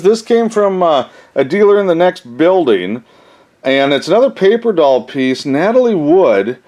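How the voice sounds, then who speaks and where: A man's voice: fairly high pitch, darkish tone. A man hosting a casual, unscripted collector's haul video, thinking aloud in a rambling way with folksy phrasing. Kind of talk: casual show-and-tell, unscripted.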